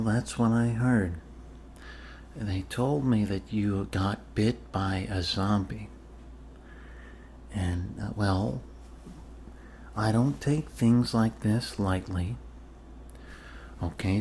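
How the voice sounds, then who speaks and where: Male, lowish voice, unhurried deadpan family doctor for ASMR role-play; halting, deliberate delivery with slight noise behind. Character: blunt, casually shady male family doctor reassuring a patient. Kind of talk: ASMR medical role-play, improvised personal-attention talk.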